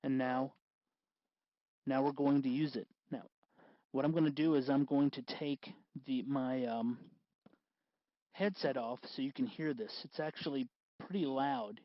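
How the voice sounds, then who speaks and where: A man's voice: medium pitch, steady tone, measured pace, dry recording, highs cut off. A man hosting an informal hands-on electronics tutorial, explaining step by step. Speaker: tutorial host.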